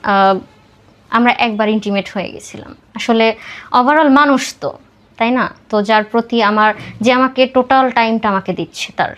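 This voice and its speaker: A Bangladeshi woman with a somewhat high voice speaks as a guest on a podcast. She recounts her personal story in interview style, with English words mixed through her speech. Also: moderate pace, a bit bright.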